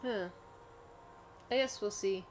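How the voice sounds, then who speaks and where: A woman's voice: medium pitch, slow pace, a little mellow, a little hiss or hum. A woman chatting casually as a video game streamer, musing and often letting thoughts trail off.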